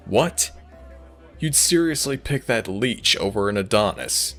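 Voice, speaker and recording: A man performs a cocky male werewolf of a rival pack in an audio drama. He is low and gravelly and takes his time. His delivery is smug, flirtatious and theatrical, made to needle. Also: lively intonation, measured pace, fairly bright tone, soft background music, heavily acted. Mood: mock offended. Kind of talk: audio roleplay drama acting.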